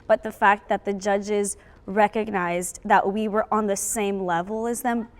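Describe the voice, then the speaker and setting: A woman's voice: mid-range voice, fairly even intonation, unhurried. A young woman giving reflective interview answers in an earnest, emphatic way as a member of a drama cast. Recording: echoey, long room reverb.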